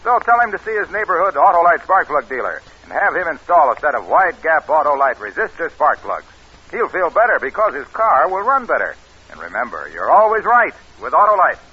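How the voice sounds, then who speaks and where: An actor playing an eager pitchman, delivering emphatic, salesy 1940s radio advertising patter. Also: big pitch swings, fairly quick, breathy edge. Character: eager spark plug pitchman chatting with in-law. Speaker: commercial announcer. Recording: dull old radio recording.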